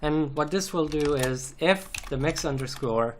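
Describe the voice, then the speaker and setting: A man's voice: a little high, unhurried. A man giving an unscripted screencast programming tutorial, explaining methodically step by step as he types. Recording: noisy, keyboard clicks and typing. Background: computer keyboard typing and clicks.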